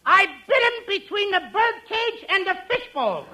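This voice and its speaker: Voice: very high-pitched, big pitch swings, unhurried, pushed and bright, full character voice. An actor playing a mischievous, whiny little boy who confesses with mock innocence in a 1940s radio comedy. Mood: mock-innocent.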